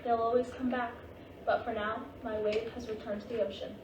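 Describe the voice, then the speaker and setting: A woman playing the gentle, wise ghost of a Japanese grandmother in a staged play reading, patient and reassuring. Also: fairly high pitch, flat delivery, highs cut off, natural voice. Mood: fond, wistful. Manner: patient, reassuring, unhurried guiding. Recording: distant, noisy, echoey staged reading.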